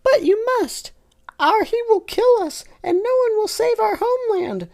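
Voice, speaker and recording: Woman doing a squeaky little alien warrior guy. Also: lively intonation, measured pace, vocal fry, exaggerated voice. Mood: desperate. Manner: high squeaky shouting. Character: small male alien creature, desperate fighter.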